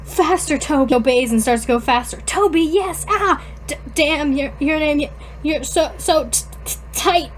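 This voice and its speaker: A giggly, informal woman co-hosting a podcast, reading fan fiction aloud haltingly and breaking off to comment. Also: sits high. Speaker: podcast co-host.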